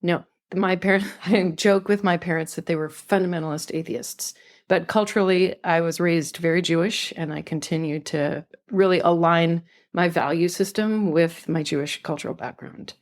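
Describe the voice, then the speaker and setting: A woman's voice: lowish voice, normal speed. An older woman from California, a podcast guest talking earnestly and reflectively about her life. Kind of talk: conversational interview.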